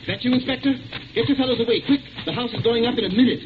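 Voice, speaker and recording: An actor playing an urbane, witty English aristocratic detective in a 1940s radio drama, with a breezy, ironically polite British manner. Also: male voice, very high-pitched, rapid-fire. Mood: urgent. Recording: muffled, noisy 1940s radio recording.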